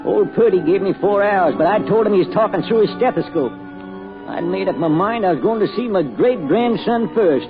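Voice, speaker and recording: High, folksy old American grandfather. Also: expressive, moderate pace. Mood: reminiscing. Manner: folksy homespun turns of phrase, chuckling. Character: folksy, spirited, affectionate small-town grandfather and druggist. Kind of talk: old-time American radio drama acting. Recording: tinny vintage radio recording with music.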